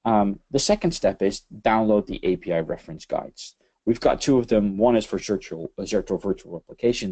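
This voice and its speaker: Dutch-accented man with a gravelly low voice. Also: darkish tone. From Netherlands. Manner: halting, many fillers and repeated words. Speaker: webinar presenter, systems engineering lead. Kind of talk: webinar presentation over slides.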